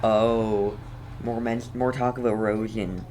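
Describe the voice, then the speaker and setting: A woman's voice: very deep voice, steady tone, slow, a little mellow. A woman streamer giving casual let's play commentary, thinking aloud in a hesitant way. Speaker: game streamer. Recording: faint background noise.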